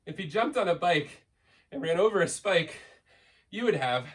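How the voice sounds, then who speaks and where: Male storyteller, fairly high voice, theatrical picture-book delivery for kids, recorded a little off the mic. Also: expressive, moderate pace, recorded from a distance, a little hiss or hum. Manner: theatrical, stretches key words and races through cumulative lists. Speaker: school staff member reading a children's book aloud. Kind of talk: playful animated children's picture book read-aloud.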